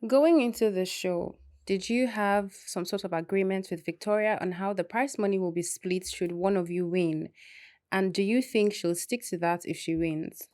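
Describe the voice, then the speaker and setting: A woman interviewer asking prepared questions in a steady, scripted manner. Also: mid-range voice, normal speed, dry recording, studio quality.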